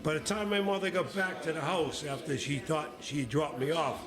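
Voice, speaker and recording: A man, a podcast guest telling casual stories in a blunt, profane way with halting restarts. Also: on the high side, steady tone. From Boston, Massachusetts. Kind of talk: casual storytelling. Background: music.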